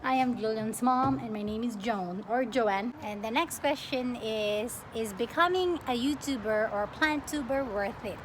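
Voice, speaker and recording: A young woman from the Philippines chatting casually as a plant vlogger, expressive and often breaking off mid-sentence. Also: on the high side, measured pace, few pauses. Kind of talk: casual get-to-know-me question-and-answer chat. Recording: clearly noisy home recording.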